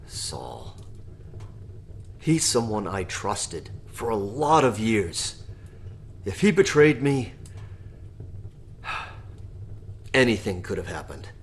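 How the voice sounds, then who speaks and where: A low-voiced man who leads survivors after the apocalypse, worn down and guarded. He speaks tersely and broodingly, in audio drama style. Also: very animated, extremely slow, pauses often, slight background noise, heavily acted. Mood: grim, brooding. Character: weary, guarded male post-apocalyptic leader.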